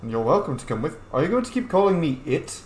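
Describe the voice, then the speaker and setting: A man's voice: on the high side, very animated, fast talker. A man doing casual, in-character commentary as he plays a role-playing video game. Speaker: gamer playing a role-play series. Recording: roomy echo, faint background noise.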